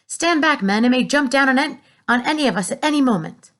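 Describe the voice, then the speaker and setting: A woman's voice: high voice, animated, fairly quick, pitched above the performer's own voice. A woman voicing a nervous male police chief in a read-aloud story. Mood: alarmed.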